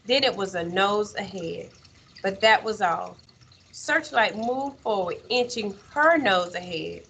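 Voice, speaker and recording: A woman with a husky voice and a medium pitch reads a storybook aloud. She narrates slowly and expressively, like a teacher, leaving dramatic pauses between phrases. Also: animated, fairly bright tone, rough recording.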